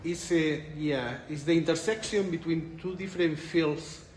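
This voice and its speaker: Spanish-accented male researcher in his 40s, slightly raised pitch, echoey room. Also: moderate pace, a little mellow, slight background noise. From Spain. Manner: thoughtful, restarts phrases, laughs lightly. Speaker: interviewed researcher. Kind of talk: conference interview. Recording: echoey room with light ambience.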